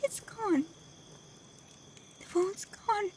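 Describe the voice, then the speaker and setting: Female actor for a young kitsune woman in ASMR roleplay: vulnerable, devoted, unhurried, breathy, night insects behind. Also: very high-pitched, noisy background, full character voice. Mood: astonished, relieved. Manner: breathy, emotional delivery often dropping into whispers. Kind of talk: ASMR roleplay voice acting addressed to the listener.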